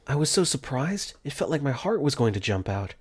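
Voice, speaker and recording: Expressive young man narrating a visual novel. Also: mid-range voice, brisk, husky. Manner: earnest, flustered, expressive. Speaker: narrator and lead in fan dub.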